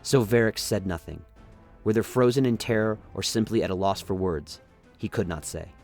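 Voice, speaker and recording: A man with a lowish voice narrates a scripted radio play. His delivery is dramatic storytelling, set over a music bed. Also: moderate pace, a little mellow.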